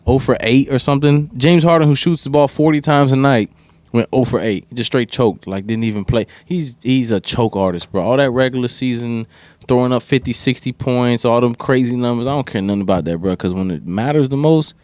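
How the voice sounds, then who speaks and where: A man's voice: medium pitch, fairly quick, darkish tone, no echo. A man in a casual sports debate, talking bluntly and with strong opinions, using slang and swearing. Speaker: podcast co-host.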